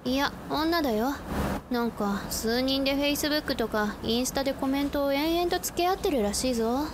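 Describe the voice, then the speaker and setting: Radio drama tomboy schoolgirl, played by a woman: blunt, boyish phrasing, high voice, very noisy recording. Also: moderate pace, close to an everyday voice. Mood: brusque, matter-of-fact.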